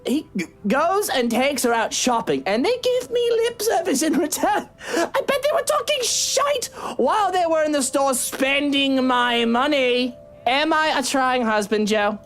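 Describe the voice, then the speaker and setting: An actor playing a very drunk, maudlin husband in a visual novel, slurring and rambling melodramatically. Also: male voice, very high voice, big pitch swings, measured pace, a bit bright, heavily acted. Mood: drunken, aggrieved. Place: studio game voice track with music behind.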